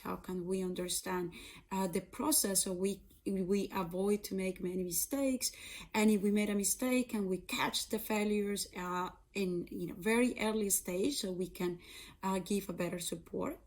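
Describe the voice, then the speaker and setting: A woman's voice: mid-range voice, moderate pace, a little mellow. A woman in her thirties from Colombia with a Colombian Spanish accent, giving an earnest personal talk about her career with frequent fillers and restarts. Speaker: software engineer and data scientist.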